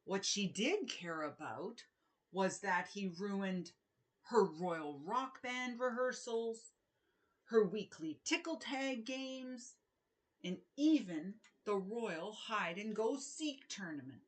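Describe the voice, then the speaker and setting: Middle-aged female children's author, unhurried pace, expressive read-aloud delivery, words carefully stressed for young listeners. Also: mid-range voice. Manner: expressive, deliberate storytelling with emphasized words.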